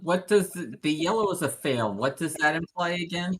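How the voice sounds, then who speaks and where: A man's voice: fairly high pitch, animated, moderate pace, fairly bright tone. A man chatting casually as a player in a tabletop game, often hesitant and asking questions.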